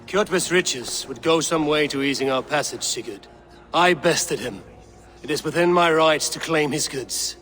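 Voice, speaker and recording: A man playing a steadfast, loyal Viking warrior in a game cutscene, with formal, measured speech. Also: a little high, a bit bright, faint music behind. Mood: assertive. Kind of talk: dramatic game cutscene acting.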